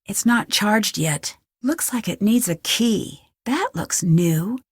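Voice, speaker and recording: A woman's voice: mid-range voice, animated, slow, husky, dry recording, studio quality. A young woman playing a curious, plucky amateur detective in an adventure video game, thinking aloud to herself. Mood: musing, curious. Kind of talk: scripted adventure game voice lines.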